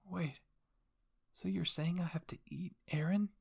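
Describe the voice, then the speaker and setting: An earnest young royal daughter in an anime roleplay, voiced by a man. The tone is husky with some fry. She speaks sincerely, pleading her case. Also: high-pitched, fairly even intonation, unhurried, a little mellow, pitched above the performer's own voice, exaggerated voice. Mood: hesitant.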